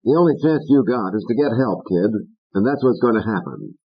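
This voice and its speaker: A man's voice: fairly low pitch, animated, fairly quick, slightly rough, lo-fi sound. A man voicing a blunt, stubborn old male postman in an audiobook. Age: older. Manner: blunt, plain-spoken.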